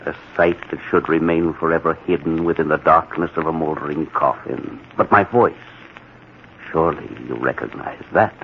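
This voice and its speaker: An actor playing a vengeful undead magician in old-time radio horror, speaking in a menacing, theatrical, deliberate way. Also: male voice, very deep voice. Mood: sinister menace. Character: vengeful undead executed magician back from the grave. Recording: muffled, hissy old radio recording.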